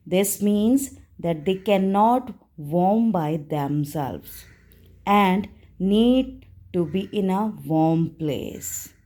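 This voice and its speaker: A woman teacher narrating a simple lesson for young children, explaining deliberately in short phrases. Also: fairly low pitch, dark tone. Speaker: primary school teacher.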